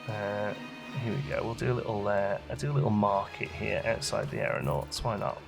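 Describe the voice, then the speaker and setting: A man with a fairly low voice and a noticeable creak hosts a gaming video. He talks casually and chattily, thinking out loud as he plays, with a little music behind him. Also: lively intonation, brisk. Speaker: gaming channel host. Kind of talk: casual let's play commentary.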